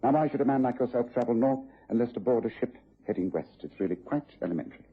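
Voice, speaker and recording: An assured English gentleman detective for vintage radio. He talks quickly and incisively in a very husky voice, over a thin, narrow-band recording. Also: mid-range voice, lively intonation. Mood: coolly analytical. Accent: English Received Pronunciation. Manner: precise, incisive, formal. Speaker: actor playing lead detective in radio drama. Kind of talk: BBC radio drama acting. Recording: muffled tinny old radio recording.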